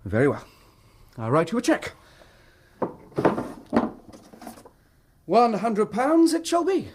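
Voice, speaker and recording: Troubled English gentleman, voice swooping expressively. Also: very high voice, wildly swooping pitch, normal speed, a little hiss or hum, full character voice. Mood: earnest, reflective. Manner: formal, earnest, wordy. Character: earnest, troubled middle-class Englishman, a husband telling his story. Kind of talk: radio drama acting, period storytelling.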